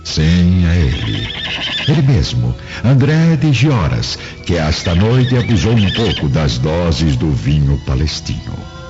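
A man's voice: deep voice, big pitch swings, takes it slowly. A man giving dramatic scripted narration for a radio drama. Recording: muffled, music behind the voice.

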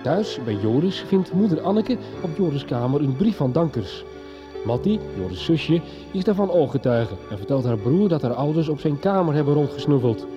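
Male narrator for radio soap recap; very varied intonation, clear music bed underneath. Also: a little high, lively intonation, moderate pace. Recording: slightly dull radio recording, music behind.

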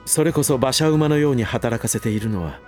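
An actor playing a loyal manservant in a Japanese voice drama, coldly polite and distrustful, with formal phrasing and a barbed edge. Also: male voice, medium pitch, a little fast, darkish tone, faint music behind, lightly acted. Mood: curt, guarded.

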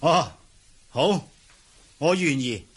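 A man's voice: a little high, animated, extremely slow, breathy edge, heavily acted. A man playing an earnest young nobleman hero in a Cantonese martial-arts radio drama, theatrical and exclamatory. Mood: friendly, eager.

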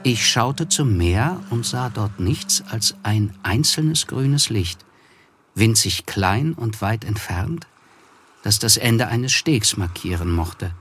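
An actor playing a reflective, wryly observant gentleman who narrates a literary radio play in a measured way. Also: on the low side, animated, a little hiss or hum. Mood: wondering, hushed. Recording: music under the voice. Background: music.

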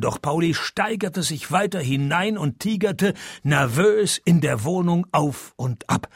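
An actor playing a dry, laconic private detective, narrating and questioning tersely in a comic radio play. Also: male voice, a little high, creaky, slightly rough, no room sound, full character voice. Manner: deadpan, terse.

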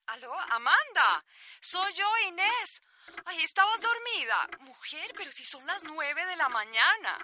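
An actress playing Inés, a brisk, stern lawyer, in a radio soap opera. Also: female voice, extremely high pitch, very animated, sharp tone, slight background noise, heavily acted. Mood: brisk, urgent. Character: Inés, brisk stern woman lawyer confronting her client.

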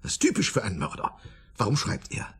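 An actor playing a smug, probing psychology professor in a German radio drama, lecturing insistently in a husky, gravelly voice. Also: male voice, on the low side, huge pitch swings, fast, heavily acted. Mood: excited, lecturing. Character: smug, probing male professor of psychology, theorizing about everyone.

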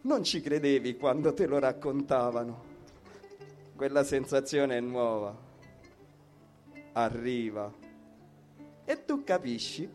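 A man reciting poetry slowly, with guitar music behind him. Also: mid-range voice, expressive, a little mellow. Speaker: poetry reader. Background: plucked guitar music.

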